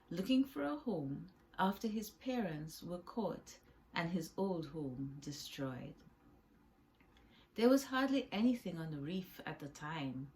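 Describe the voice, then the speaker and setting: A woman with a slightly low voice reads a children's story aloud. She narrates as the book's author, telling it for young listeners. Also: warm tone, rough recording. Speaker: author reading own children's book.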